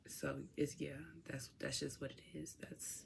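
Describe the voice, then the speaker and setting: A woman's voice: very deep voice, level tone, measured pace, gravelly, a little hiss or hum. A young woman from Chicago with a Chicago accent, chatting casually and laid-back to her viewers at home. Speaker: vlogger. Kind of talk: casual chat to camera.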